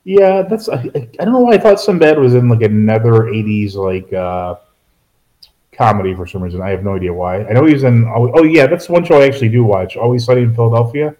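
A man's voice: mid-range voice, animated, fast talker, warm tone, low-quality recording. A man co-hosting a casual chat about movies, rambling and correcting himself with dry asides. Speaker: podcast co-host.

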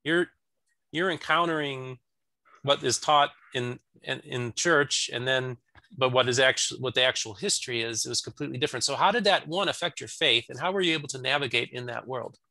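A man's voice: mid-range voice, a little fast, creaky, sharp tone, no room sound. A man hosting an interview, asking long casual questions and repeating words as he forms them. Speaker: interviewer, host.

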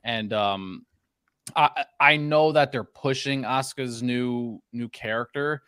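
A man in casual sports podcast chat, talking in a halting way and often repeating his words. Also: mid-range voice, a bit bright, clean tone, no echo, clean studio sound. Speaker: wrestling podcast panelist.

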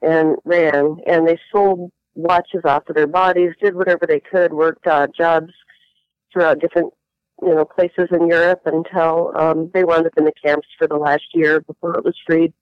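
A woman's voice: deep voice, little pitch movement, moderate pace, clean tone, no room sound. A woman guest in an interview, telling her own story in a reflective, hesitant way with frequent fillers. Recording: dull lo-fi sound.

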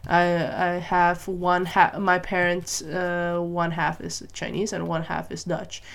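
Woman, from Malaysia, lowish voice, casual solo monologue, thinking aloud, rhetorical questions. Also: flat delivery, slow, vocal fry, fairly bright tone. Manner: musing, thinks aloud with rhetorical questions and frequent "right?" and "you know?". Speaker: vlogger.

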